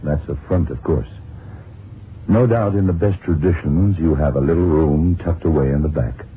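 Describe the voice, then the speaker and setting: A self-assured radio detective with an extremely low voice. He is unruffled and dryly explanatory, as in a crime drama. The old recording is narrow and muffled, with some noise. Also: normal speed. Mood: cool, dry. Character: cool, self-assured detective who outwits gunmen.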